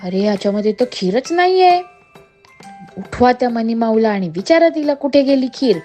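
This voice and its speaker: A woman's voice: fairly high pitch, lively intonation, moderate pace, warm tone, faint music behind, natural voice. A woman voicing a bossy big-brother monkey who leads his friends in a children's story. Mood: alarmed. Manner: bossy, commanding.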